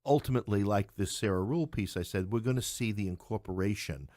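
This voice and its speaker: A middle-aged man talks casually as an interview guest in a fairly low voice. He is opinionated and blunt, and the sound is close and dry. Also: a little fast, mellow, no echo. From New York City. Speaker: interview guest, playwright and English professor. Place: podcast studio, in person.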